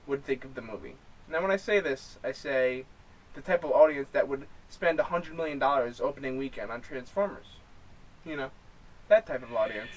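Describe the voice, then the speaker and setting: A man co-hosting a film review show, chatting casually and explaining his opinions. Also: fairly high pitch. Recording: distant mic, faint room noise.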